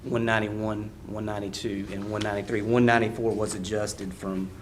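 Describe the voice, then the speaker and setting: A man with a fairly low voice walks a board through property appeals, hesitating with fillers and restarts. Steady noise sits under the recording of the meeting. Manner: halting, many fillers and restarts. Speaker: county appraiser. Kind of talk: presenting at a public board meeting.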